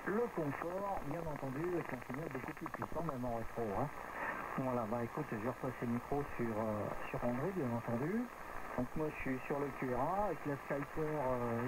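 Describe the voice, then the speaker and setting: A man's voice: medium pitch, heavy background noise. A man chatting casually and technically as an amateur radio operator, over a radio signal.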